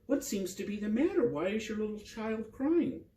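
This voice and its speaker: Man voicing a kind, lively little boy, echoey. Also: high voice, normal speed, slight background noise, voice pushed up. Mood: helpful. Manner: simple, earnest, helpful. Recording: roomy echo, distant microphone.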